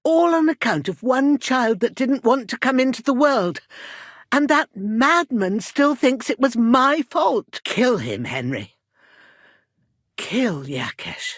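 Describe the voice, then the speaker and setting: An actress playing a middle-aged village midwife and protective mother in a dramatic video game, with a husky, breathy voice and an earnest, emotional delivery. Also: lowish voice, sing-song, no room sound, studio quality, heavily acted. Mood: bitter, angry. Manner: earnest, emotional, deliberate phrasing.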